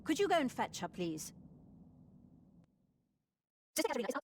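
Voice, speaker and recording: A woman's voice: high-pitched, very animated, moderate pace, slight background noise. A woman voicing a resolute, caring abbess in a video game, speaking with grave authority. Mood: concerned, measured. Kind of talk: scripted video game voice acting.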